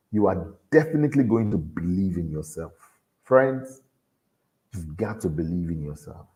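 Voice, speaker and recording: A man with a deep voice, giving an earnest motivational talk to young people and repeating his key lines for emphasis. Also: warm tone. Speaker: motivational speaker at a youth event.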